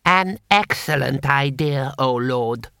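A man voicing a scheming courtly lord and grand vizier in a measured, deliberate way for an audiobook. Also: medium pitch, creaky, sharp tone, clean tone, dry recording, clean studio sound, exaggerated voice. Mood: approving. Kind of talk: audiobook character voice.

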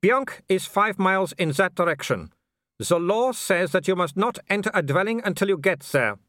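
Man voicing a haughty werewolf pack leader, animated and higher. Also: moderate pace, dry recording, clean studio sound. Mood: taunting menace. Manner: formal, taunting, grandly scornful. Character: haughty, menacing male werewolf pack leader, foreign aristocrat.

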